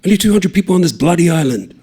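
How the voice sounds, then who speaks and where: A man's voice: high-pitched, sing-song, very fast, warm tone, breathy edge, pitched above the performer's own voice, full character voice. A man voicing a panicked young male road worker who hisses and demands in urgent bursts. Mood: panicky. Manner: hissing, urgent, frantic.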